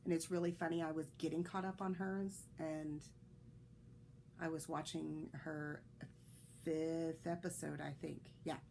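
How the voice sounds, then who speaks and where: A woman's voice: deep voice, flat delivery, a little slow, creaky, warm tone, a little hiss or hum, poor audio. A woman hosting a knitting podcast, chatting in a rambling way with fillers and restarts, a little congested. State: slightly congested, coughing. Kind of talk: casual solo podcast chat.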